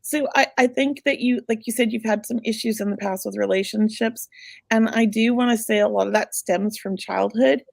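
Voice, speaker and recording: A woman hosting a live psychic reading, chatty and reassuring, going a bit hoarse as she loses her voice. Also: on the high side, dry recording, clean studio sound. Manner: chatty, reassuring, many filler words. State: losing her voice. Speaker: psychic medium host.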